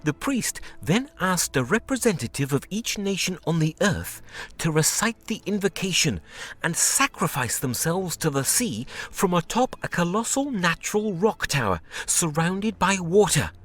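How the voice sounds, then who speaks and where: An actor playing a scholarly, sceptical translator of ancient books in a video game, with bookish, theatrical delivery. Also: male voice, high voice, big pitch swings, normal speed, fairly bright tone, soft background music, exaggerated voice. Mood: sceptical storytelling.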